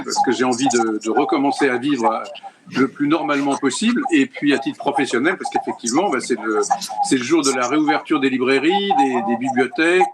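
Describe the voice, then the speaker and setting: A man speaking as a panel guest, pausing often with fillers. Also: mid-range voice. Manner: hesitant with many fillers. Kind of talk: panel discussion by video call. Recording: loud background noise.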